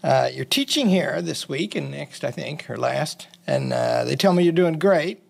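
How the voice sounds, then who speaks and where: A man's voice: a little high, animated, normal speed, gravelly. A man hosting an interview, asking questions in a friendly, conversational way with hesitant ums. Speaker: interview host.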